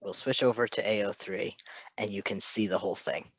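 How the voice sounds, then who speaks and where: A woman's voice: extremely low pitch, steady tone, brisk, breathy edge, no room sound. A woman chatting casually as she follows along with a story reading. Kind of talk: casual chat during a read-along.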